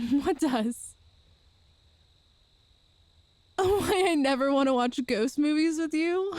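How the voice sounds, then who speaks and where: A woman's voice: high-pitched, no room sound. A woman playing an affectionate, playful girlfriend from a ghost family in an ASMR audio roleplay, chatty and tender. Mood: teasing, relieved. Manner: chatty and tender, with soft laughs and sighs.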